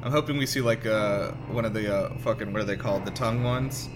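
A man giving casual, excitable, sweary commentary as host of a film reaction show. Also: on the low side, quick pace, noisy background. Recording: music playing behind. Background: film soundtrack music.

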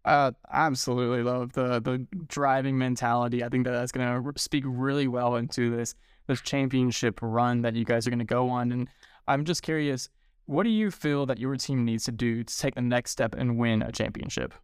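A man's voice: medium pitch, steady tone, normal speed, smooth, no room sound, clean studio sound. A man co-hosting a conversational sports interview, effusive and complimentary in the way he talks to guests. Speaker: sports show co-host and interviewer.

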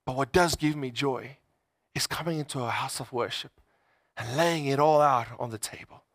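A man's voice: mid-range voice, normal speed, fairly bright tone, no room sound, studio quality. A man preaching a conversational sermon, emphatic, repeating phrases and voicing people's inner thoughts in everyday words.

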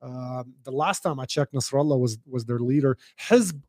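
A man's voice: mid-range voice, normal speed, darkish tone, dry recording, clean studio sound. A man from Lebanon whose first language is Arabic, explaining things in casual podcast conversation, repeating himself and swearing freely. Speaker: podcast host.

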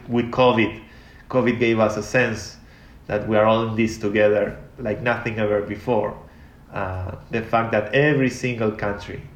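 A man from Argentina, speaking as an interview guest in a reflective, rambling way with many restarts. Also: lowish voice. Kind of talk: casual interview talk. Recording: echoey room.

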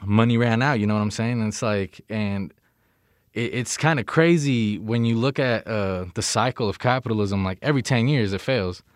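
A man's voice: on the low side, normal speed, clean tone, no echo, studio quality. A young American man in casual conversation, talking in an informal, slangy way and often checking in with the listener. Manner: informal, slangy, frequent "you know what I mean".

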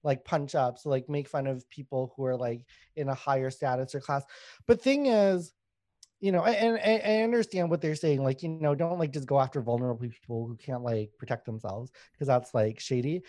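A man's voice: medium pitch, a little fast, dark tone, no room sound. A man in his thirties from Canada, a guest comedian talking candidly in a casual podcast chat, laughing and swearing as he goes. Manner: candid, laughing, swears freely, many fillers.

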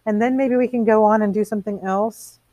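A woman's voice: medium pitch, fairly even intonation, brisk, warm tone, no echo, dull audio, voice pushed up, natural voice. A woman voicing a shallow, prim society lady from a novel, chattering in an affected, gossipy way. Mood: coaxing.

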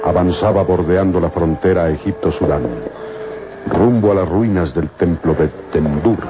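A man's voice: deep voice, moderate pace. A man narrating a scripted adventure serial in a dramatic, old-time radio style. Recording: muffled old radio recording. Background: music.

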